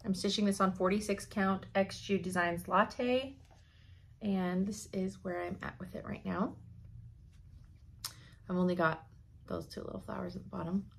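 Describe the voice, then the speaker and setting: A young woman giving a chatty cross-stitch update from her home craft room, her voice slightly hoarse, laughing often as she thinks aloud. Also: mid-range voice, steady tone, normal speed, pauses often. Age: young adult. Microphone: camera in front at desk.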